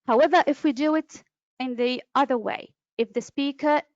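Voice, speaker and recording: Woman, fairly high voice, lecturing, fillers and restarts, dry dull webinar audio. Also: normal speed, no echo. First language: Arabic. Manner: explanatory, with frequent fillers and restarts. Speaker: webinar presenter, interpreter. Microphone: computer mic, online webinar.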